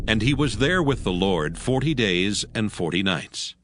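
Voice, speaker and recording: A man narrating scripture from a script in a measured, solemn manner. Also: lowish voice, expressive, very noisy. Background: faint music.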